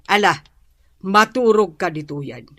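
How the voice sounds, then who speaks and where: A woman's voice: medium pitch, expressive, unhurried, a bit bright. A woman with an Ilocano accent playing a worried, reproachful middle-aged mother in a drama. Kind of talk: Ilocano radio-style drama acting.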